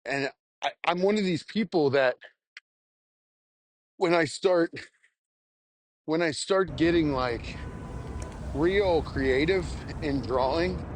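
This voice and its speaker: A young adult man, a stand-up comedian, rambling casually and candidly in a halting, self-reflective way with some casual swearing. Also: on the high side, no room sound, poor audio. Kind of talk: casual rambling monologue, audio journal.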